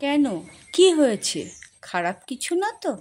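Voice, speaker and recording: A woman's voice: high-pitched, very animated, normal speed, a little mellow, a little hiss or hum. A woman voicing a practical, caring and slightly fretful village wife and mother in a cartoon. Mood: questioning, concerned. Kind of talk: cartoon dialogue. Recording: long reverb on voice.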